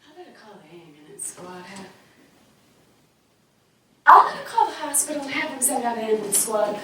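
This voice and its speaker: A woman's voice: on the high side, sing-song, normal speed, very noisy. A woman playing a coaxing, hard-nosed woman running a cheap house in a stage play, soothing by turns and stern, recorded from far off in an echoey studio. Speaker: stage actor. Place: small theater studio.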